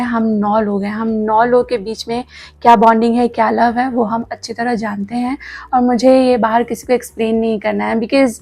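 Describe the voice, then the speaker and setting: A woman's voice: a little high, a little mellow, dry recording. Earnest Indian woman, scratchy throat. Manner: candid, earnest, mixes in English words. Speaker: vlogger. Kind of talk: casual vlog talk to viewers. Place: home kitchen.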